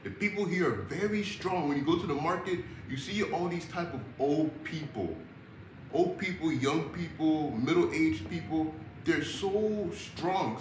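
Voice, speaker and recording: A young man from Houston, Texas, speaking in a relaxed, earnest way straight to camera in a casual vlog, calling his viewers y'all. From Houston, Texas, United States. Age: young adult. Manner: relaxed and earnest, addressing viewers as y'all, gets animated with rising pitch. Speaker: vlogger. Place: indoor room with a fan running, seated on a sofa. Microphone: built-in camera mic at a short distance. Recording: echoey room, faint fan hum.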